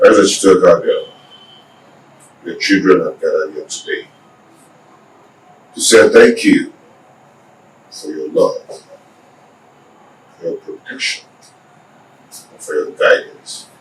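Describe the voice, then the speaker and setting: An actor playing a solemn village priest who declaims thanks and blessings. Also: male voice, low voice, expressive, slow pace, pauses often, reverberant, distant mic, a little hiss or hum, low-quality recording.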